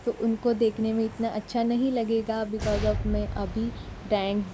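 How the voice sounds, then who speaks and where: A woman's voice: a little high, little pitch movement, measured pace, background noise. A Marathi-speaking woman from Maharashtra with a Mumbai Hindi accent, chatting through a voice-over of her mobile gameplay, self-deprecating and switching between Hindi and English. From Maharashtra, India. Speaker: mobile gaming YouTuber. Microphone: phone mic.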